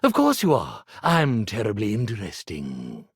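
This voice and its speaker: An actor playing a grandiose, melodramatic stage magician in a video game, with florid and pompous theatrical diction. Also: male voice, on the low side, wildly swooping pitch, measured pace, husky, dry recording, clean studio sound, full character voice. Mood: domineering, smug. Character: grandiose theatrical stage magician, living iron and ironing board. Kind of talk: video game character voice acting.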